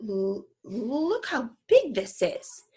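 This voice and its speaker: An earnest young girl from a children's storybook, voiced by a woman reader. She pleads and insists eagerly, full of big ideas, in a raised, unhurried voice. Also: a little high, big pitch swings, dark tone, husky, pitched above the performer's own voice, heavily acted. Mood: insistent. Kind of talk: children's novel read-aloud.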